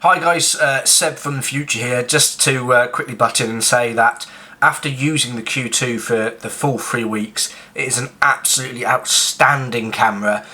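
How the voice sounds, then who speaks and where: A man who is sick, giving a quick, informal aside about a camera with lots of fillers. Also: mid-range voice, steady tone, pushed and bright. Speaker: camera reviewer. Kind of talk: casual recorded aside.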